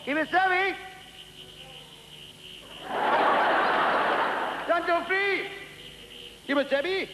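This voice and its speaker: A man's voice: mid-range voice, normal speed, heavy background noise, pitched below the performer's own voice, lightly acted. Tonto, as a man puts him on. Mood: yelling. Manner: clipped broken-English speech. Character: Tonto, stoic sidekick in broken English.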